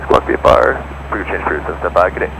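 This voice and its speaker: A man's voice: low-pitched, very fast. A man speaking as a pilot, making calls and readbacks over an aviation radio. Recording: noisy aircraft radio transmission.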